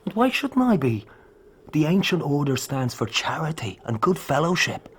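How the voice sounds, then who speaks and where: A man's voice: a little high, big pitch swings, moderate pace, a bit bright, slightly rough, exaggerated voice. An actor playing a bold, hot-headed young Irishman in a radio drama, with an Irish accent and a brash, defiant manner.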